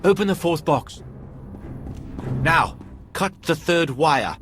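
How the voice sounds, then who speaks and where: An actor playing a brisk, wry, commanding mission controller giving instructions in a video game. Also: male voice, fairly high pitch, animated, background noise. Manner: directive, dryly wry. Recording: very loud music behind. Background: game music.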